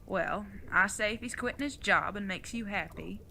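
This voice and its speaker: A woman's voice: mid-range voice, moderate pace, gravelly, sharp tone, a little hiss or hum. A woman playing an older retired outlaw mother in an audio drama, folksy, plain-spoken and teasing, with affection underneath. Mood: reassuring, frank.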